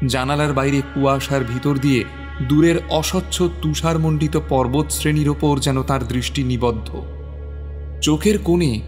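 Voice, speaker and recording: A man delivers dramatic, literary story narration in a fairly high voice. His phrasing is deliberate and suspenseful. The sound carries a long reverb, with music underneath.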